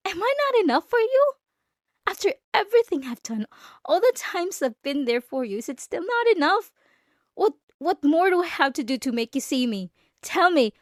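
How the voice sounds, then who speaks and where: A jealous, possessive young female bully played by a woman in an intimate ASMR roleplay. Her pitch swings widely as she taunts and threatens, moving between softness and agitation. The recording is very dry and close. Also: no echo. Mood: jealous, agitated.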